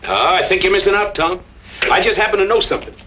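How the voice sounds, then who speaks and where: Casting: a sly, taunting outlaw, higher-set animated voice, old radio western, muffled recording. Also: male voice, fast talker, a little hiss or hum. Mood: sly, mocking. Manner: mocking, drawling feigned innocence. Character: sly, taunting cow thief gunman in the Old West.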